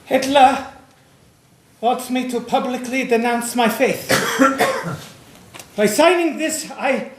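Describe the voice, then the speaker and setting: Male actor as resolute jailed pastor: high voice, slow declamatory delivery, echoey stage. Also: a little hiss or hum. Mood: solemn, resolute. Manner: solemn, declamatory, measured. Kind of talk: stage play acting.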